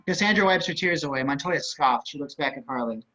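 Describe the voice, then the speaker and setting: A man with a slightly high voice reads scripted stage directions. The pace is quick, and the sound is a bit dull, as on a video call. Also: expressive, pushed and bright. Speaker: narrator reading stage directions. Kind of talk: scripted narration for a table reading.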